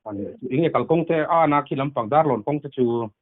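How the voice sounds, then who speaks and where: A man's voice: mid-range voice, fairly quick. A man talking casually on a phone call, his voice coming through a tinny, narrow line. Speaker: phone caller. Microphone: telephone.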